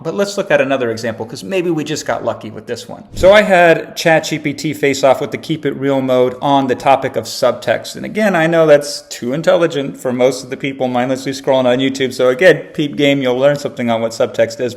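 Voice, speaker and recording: A man presenting a casual marketing tutorial, talking in a brash, slangy way and teasing his viewers. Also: mid-range voice. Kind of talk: casual conversational tutorial with read-aloud examples.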